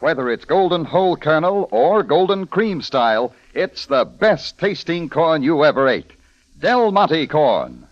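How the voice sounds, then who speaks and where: Lively vintage radio announcer, male. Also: on the high side, unhurried. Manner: polished, salesmanlike. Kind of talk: 1940s-50s radio advertising and credits announcing. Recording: lo-fi vintage radio, music behind.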